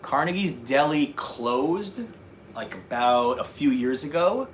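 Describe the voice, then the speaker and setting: A man's voice: mid-range voice, lively intonation, slow, a little hiss or hum. Chatty North Jersey guy livestreaming. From North Jersey, USA. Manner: chatty, answers viewer questions, restarts and fillers. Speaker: travel vlogger hosting a livestream. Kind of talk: casual livestream chat.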